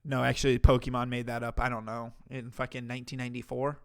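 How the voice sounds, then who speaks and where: A man's voice: medium pitch, little pitch movement, quick pace, smooth, faint music behind. A man hosting a livestream, reacting to a clip with mocking, profane commentary. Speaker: commentary streamer host.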